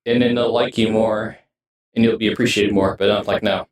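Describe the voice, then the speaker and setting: Low-voiced man rambling, echoey and distant. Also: little pitch movement. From United States. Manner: rambling, halting, often trailing off mid-sentence. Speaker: video game streamer. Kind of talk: casual rambling vlog talk. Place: indoors in his streaming room setup. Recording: roomy echo, distant mic, music behind.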